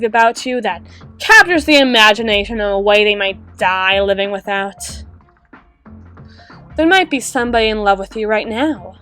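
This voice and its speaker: A woman playing a wistful, guarded female half-spider bouncer in an intimate roleplay monologue, musing with dramatic pauses and soft trailing phrases. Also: mid-range voice, close to an everyday voice. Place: close-miked recording with music playing behind.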